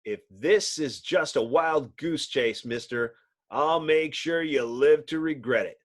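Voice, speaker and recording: A man voicing a gruff, surly monster hero with grumbling, slangy tough-guy talk in a comic book story. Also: a little high, slow. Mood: threatening.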